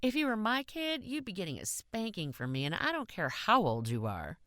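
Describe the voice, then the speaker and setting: A woman's voice: mid-range voice, very animated, normal speed, a bit bright, dry recording, studio quality, voice pushed up. A woman voicing a worried, bossy young divorced woman who scolds and interrogates in audiobook dialogue. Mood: scolding.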